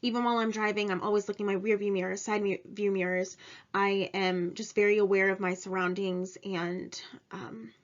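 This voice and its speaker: A woman's voice: mid-range voice, little pitch movement, normal speed. A young woman giving an informal presentation about herself in a matter-of-fact, self-assured way. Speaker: presenter of personal test results, insurance claims adjuster. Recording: dull lo-fi recording.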